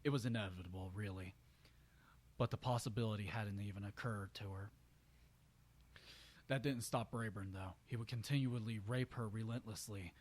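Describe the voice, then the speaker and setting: A man's voice: fairly low pitch, normal speed, long pauses. A man giving an amateur dramatic reading of fan fiction, stumbling over words and correcting himself. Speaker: story reader.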